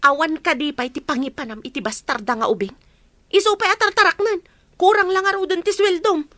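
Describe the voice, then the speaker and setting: A woman's voice: extremely high pitch, brisk, bright, forceful tone, no room sound. A woman playing a bitter, resentful betrayed wife with a scolding manner in an Ilocano radio drama. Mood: bitter anger.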